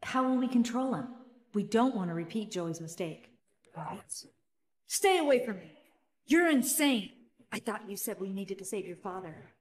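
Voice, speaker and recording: A woman's voice: mid-range voice, lively intonation, moderate pace, a little mellow, close to an everyday voice. Woman acting earnest game heroine, long reverb. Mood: focused, cautious, then angry, defiant. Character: earnest, resolute young woman heroine born of ink.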